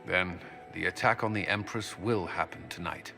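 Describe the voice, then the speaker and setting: A man's voice: deep voice, normal speed, gravelly, bright, forceful tone, full character voice. An actor voicing a blunt, practical male military commander in a video game, speaking directly and firmly. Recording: game dialogue over music.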